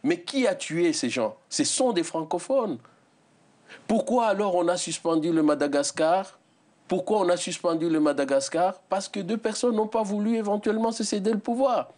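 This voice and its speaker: A man's voice: high voice, normal speed, a little mellow. A man speaking as a lawyer guest on a televised debate, indignant and pleading, with frequent hesitations.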